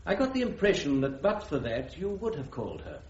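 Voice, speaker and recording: Polite but commanding british court president, measured n fussy, radio play, echoey slightly dull recording. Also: male voice, fairly high pitch, wildly swooping pitch, darkish tone. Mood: measured, inquiring. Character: courteous, fussy, authoritative male presiding officer of military court. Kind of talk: radio courtroom drama acting.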